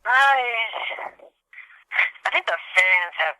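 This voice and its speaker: A man with a high, extremely bright and cutting voice chats as a gaming podcast co-host. His intonation swings widely, and he comes across as blunt and grumbling. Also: animated, slow pace, clean tone, no echo, poor audio. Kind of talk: casual chat.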